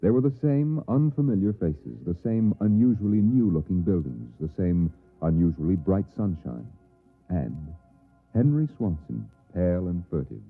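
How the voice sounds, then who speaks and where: Dramatic radio-play narrator, American, grave, widely inflected, tinny band-limited recording. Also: male voice, deep voice, very animated, moderate pace, vocal fry, heavily acted. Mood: ominous, measured. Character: grave, dramatic storyteller of uncanny tales. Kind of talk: scripted old-time radio narration. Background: faint music.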